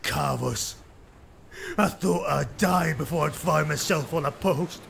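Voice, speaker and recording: A man playing a grim, resigned captive soldier in a fantasy audio drama, speaking bleakly in a gravelly voice. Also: fairly high pitch, unhurried, fairly bright tone, a little hiss or hum, heavily acted. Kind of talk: full cast fantasy audio drama acting.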